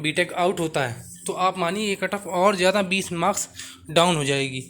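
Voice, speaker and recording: A man's voice: high-pitched, a bit bright, a little hiss or hum. A young man giving an informal explainer to viewers, mixing in English words and repeating his assurances. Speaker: exam coaching YouTuber.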